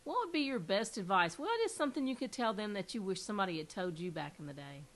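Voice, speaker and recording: A woman hosting an interview, hoarse from a cold. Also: mid-range voice, sing-song, fast. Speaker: interview host. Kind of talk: casual interview chat.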